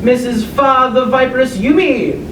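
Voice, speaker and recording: Hyped ring announcer, acted by a woman, pitched-up showman delivery, drawn-out names, echoey distant recording. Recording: echoey room, distant, loud rumble.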